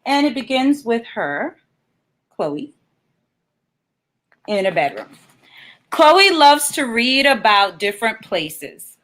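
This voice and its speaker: A woman's voice: on the high side, big pitch swings, slow pace, long pauses, fairly bright tone. A woman speaking informally as a guest children's author and librarian, presenting a read-aloud for kids.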